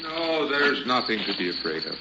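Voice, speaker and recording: Solemn old desert prophet, muffled. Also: male voice, mid-range voice, big pitch swings, moderate pace. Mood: calm, gentle. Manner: preachy, biblical, solemn phrasing. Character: wild, prophetic old man, gentle zealot. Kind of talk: 1970s radio drama acting. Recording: muffled, very noisy 1970s radio recording.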